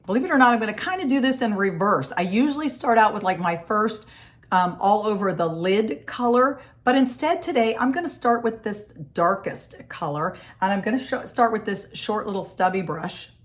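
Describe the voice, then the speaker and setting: A woman with a scratchy, hoarse voice from a cold, chatting casually through a makeup tutorial in her home office. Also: mid-range voice. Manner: chatty, friendly step-by-step explaining. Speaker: makeup vlogger.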